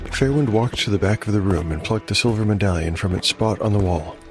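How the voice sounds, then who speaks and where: A man narrating a solo tabletop fantasy game as game master, in a measured, reflective, scripted manner. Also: on the low side, music in the background.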